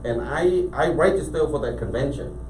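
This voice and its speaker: A man from Cambodia with a Cambodian accent, a business owner telling his story as a guest in a casual interview, animated and full of restarts. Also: fairly high pitch, normal speed. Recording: echoey room, mic at a distance, faint background noise.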